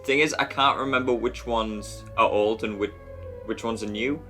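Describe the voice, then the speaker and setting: A man's voice: medium pitch, moderate pace, music in the background. A man giving casual, joking commentary as he watches a film with others.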